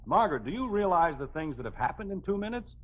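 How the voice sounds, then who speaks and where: A man's voice: high-pitched, sing-song, fast. An actor playing an exasperated middle-aged suburban father in a 1950s radio sitcom, blustering and hemming his way through excuses to escape the house. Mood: casual scheming. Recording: dull vintage 1950s radio recording.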